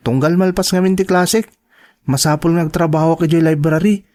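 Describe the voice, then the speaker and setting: Actor as young male college student, high voice, Filipino accent, earnest, determined, imploring radio drama delivery. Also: steady tone, moderate pace, a little mellow, natural voice. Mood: earnest, confiding. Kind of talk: Ilocano radio-style drama acting.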